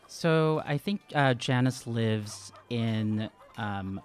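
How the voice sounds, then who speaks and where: A man improvising his character's story as a player in a tabletop game, hesitant and halting as he thinks aloud. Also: medium pitch, soft background music. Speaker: tabletop role-playing player.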